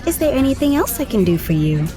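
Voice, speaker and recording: A woman's voice: high voice, sing-song, fast talker. A woman playing a polite flight attendant who sweetly deflects a pushy passenger. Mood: courteous. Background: music.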